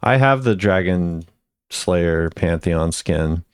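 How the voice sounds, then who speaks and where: A man's voice: deep voice, animated, slow, clear, resonant tone, dry recording, studio quality. A man hosting a video, giving casual commentary as he ranks game voice lines.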